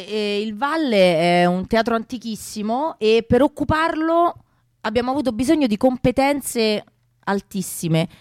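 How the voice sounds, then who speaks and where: A woman's voice: fairly high pitch, no echo. Woman co-hosting radio, dry close sound. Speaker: radio show co-host. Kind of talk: conversational radio presenting with a guest introduction.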